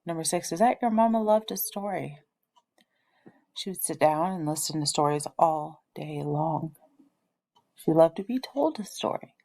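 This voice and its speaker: A gentle, reserved preacher and father from a storybook, read by a woman in a low register. His speech is measured and deliberate. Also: lively intonation. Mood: wistful.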